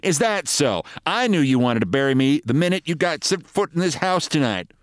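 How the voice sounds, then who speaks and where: A man acts out a bossy middle-aged wife from a comic short story. The voice sits fairly high and swings around in pitch, sarcastic and exasperated as it scolds, in a very dry, close recording. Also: very animated, normal speed, dry recording. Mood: accusing.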